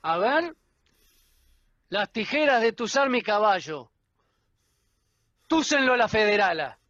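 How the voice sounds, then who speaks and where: A woman's voice: mid-range voice, big pitch swings, takes it slowly, fairly bright tone, dry recording, highs cut off. A woman playing an imposing, cold and commanding male judge in a radio play. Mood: cold menace.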